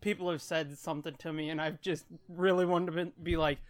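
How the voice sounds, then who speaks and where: A man's voice: on the high side, fairly even intonation, brisk. Irreverent, sweary man in casual chat. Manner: profane, irreverent, joking. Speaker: podcast co-host.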